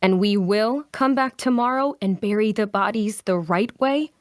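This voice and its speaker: A woman's voice: medium pitch, moderate pace, no room sound, clean studio sound, close to an everyday voice. A woman playing an earnest, compassionate adventurer who insists on what is right, in a fantasy drama table read. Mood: reluctant. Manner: indignant, pleading.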